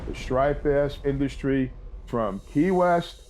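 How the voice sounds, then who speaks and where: A man's voice: medium pitch, takes it slowly, a little mellow, a little hiss or hum. A man speaking bluntly and emphatically in an advocacy interview.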